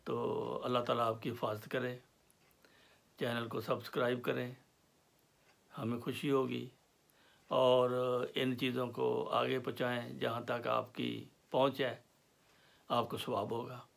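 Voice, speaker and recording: A man lectures on homeopathic remedies at an unhurried crawl. His voice carries a gravelly fry, and he takes his time, filling gaps with soft hesitations as he lists symptoms. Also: medium pitch, little pitch movement. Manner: slow and deliberate, with pauses and fillers. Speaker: homeopathy teacher. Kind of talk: slow explanatory lecture.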